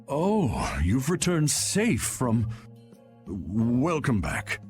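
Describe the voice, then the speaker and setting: Polite innkeeper, unhurried, mid-pitched, welcoming, music behind, video game. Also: male voice, huge pitch swings, darkish tone, full character voice. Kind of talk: video game cutscene acting.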